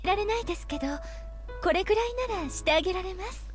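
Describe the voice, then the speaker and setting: An actress playing a gentle, cheerful motherly bakery woman in a visual novel, speaking softly and politely with affection. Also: very high voice, rapid-fire. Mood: tender. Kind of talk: Japanese visual novel voice acting. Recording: game audio with clear background music. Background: music.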